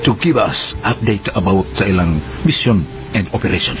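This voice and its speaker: An actor playing a steady, respectful military officer, formal and reassuring, in a Cebuano radio drama. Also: male voice, deep voice, normal speed, noisy background.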